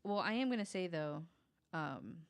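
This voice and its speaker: A woman recounting true crime cases in a conversational, halting and informal way, hedging as she works from notes. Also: lowish voice, animated, no echo. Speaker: podcast guest.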